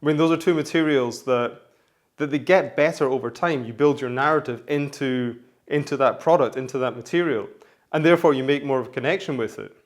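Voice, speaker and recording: Hoarse man chatting in a vlog. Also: medium pitch, brisk. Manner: rambling, chatty, frequent restarts. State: hoarse from flu. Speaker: menswear vlogger. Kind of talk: casual vlog talk.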